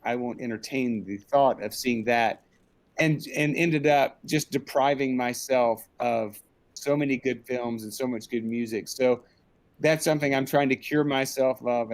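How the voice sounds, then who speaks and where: A man's voice: medium pitch, normal speed. A middle-aged man giving a reflective, casual interview, candid and self-deprecating about himself. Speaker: interview guest, film director. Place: indoors, on a group video call.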